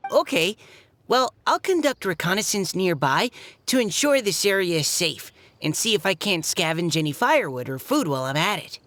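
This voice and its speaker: A woman's voice: fairly low pitch, expressive, moderate pace, fairly bright tone, a little hiss or hum. A woman playing a shy, earnest young boy soldier in a video game, with a nervous manner.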